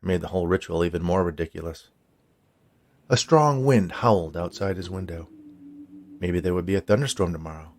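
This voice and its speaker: A man's voice: deep voice, brisk, pauses often, slight background noise. A man reading his own short story as scripted narration.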